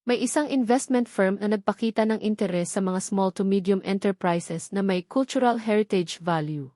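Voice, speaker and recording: A woman voicing an elderly male lawyer, gentle, measured and formal, in an audio drama. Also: mid-range voice, no echo, clean studio sound, lightly acted. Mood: earnest, hopeful. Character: gentle, sorrowful old male lawyer on the phone.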